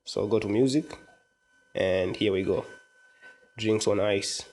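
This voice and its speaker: A man narrating a software tutorial in an informal, step-by-step explanatory way. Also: on the low side, takes it slowly. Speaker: tutorial presenter. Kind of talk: casual software walkthrough narration.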